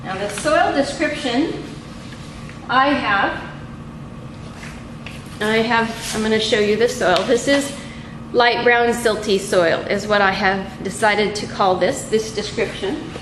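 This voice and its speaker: A middle-aged woman with a slightly high voice teaches a hands-on lab procedure. She is methodical and halting, taking her time over each step. The camera's microphone picks her up from a few feet away, with clear noise behind her. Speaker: college lab instructor. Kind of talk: instructional lab demonstration, unscripted. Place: small indoor lab room. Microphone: camera mic a few feet away. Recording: echoey room with clear background noise.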